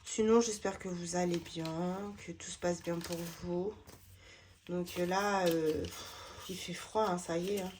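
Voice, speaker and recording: A woman's voice: fairly low pitch, fairly even intonation, a little slow, darkish tone, a little hiss or hum. A woman, slightly stuffy from a cold, chatting casually while sorting her budget envelopes, with lots of hesitant fillers. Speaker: budgeting vlogger.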